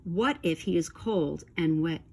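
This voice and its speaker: A woman voicing an anxious, excitable male toad in a children's storybook, exclaiming and questioning with urgency. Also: fairly low pitch, a little slow, creaky, slight background noise. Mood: worried, fretful. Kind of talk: children's storybook read-aloud.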